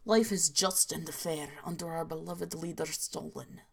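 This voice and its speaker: A woman doing a deadpan mock-Russian narrator. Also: deep voice, unhurried, gravelly, a little hiss or hum, voice pushed down. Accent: mock Russian accent, inaccurate. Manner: clipped broken grammar, dropped articles. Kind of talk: reading parody horror stories aloud.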